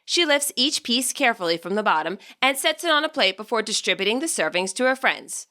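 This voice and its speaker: A woman reading a scripted story as its narrator, with a wry, expressive delivery. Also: a little high, a little fast. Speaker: author narrating own fan fiction audiobook.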